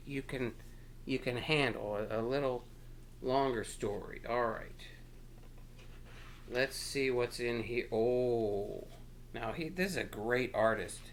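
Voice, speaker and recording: A man hosting a storytime, chatting casually and playfully with young children in an encouraging, halting, rambling way. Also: on the high side. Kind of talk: casual read-aloud chat to young children.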